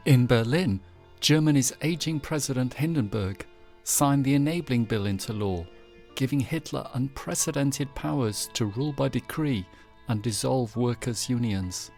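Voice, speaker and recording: A man reading scripted, documentary-style news headlines in a measured, formal manner. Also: mid-range voice, a little mellow. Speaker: narrator presenting historical news headlines. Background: background music.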